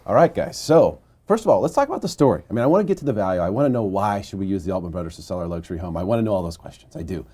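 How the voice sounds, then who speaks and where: A man's voice: low voice, animated, very fast, few pauses, dark tone, slightly rough. A man from Missouri hosting an interview, talking casually and gushing with enthusiasm like a fan. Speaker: interview host.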